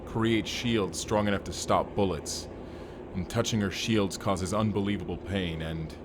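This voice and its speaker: A man acts out a stoic warrior from a cyberpunk audio drama. He speaks in a fairly low voice with formal, terse, solemn phrasing. Ambient noise is clearly audible behind him. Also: fairly even intonation, measured pace. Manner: formal, terse, avoids contractions. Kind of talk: first-person audio roleplay acting.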